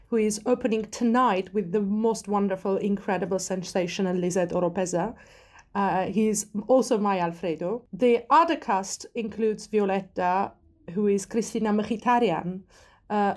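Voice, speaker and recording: A woman's voice: mid-range voice, darkish tone. A young woman opera singer from Armenia, talking enthusiastically about singers and her training in an interview. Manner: enthusiastic, effusive. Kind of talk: interview chat.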